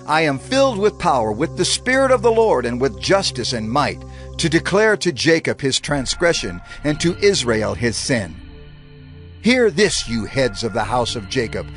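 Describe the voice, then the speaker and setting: A man giving a dramatized, solemn reading of scripture as an audio Bible narrator, declamatory and emphatic. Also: medium pitch.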